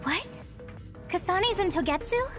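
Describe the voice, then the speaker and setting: An anxious girlish soldier speaks in an extremely high voice. It is anime game acting, with soft music in the background. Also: animated, a little slow. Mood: anxious, alert.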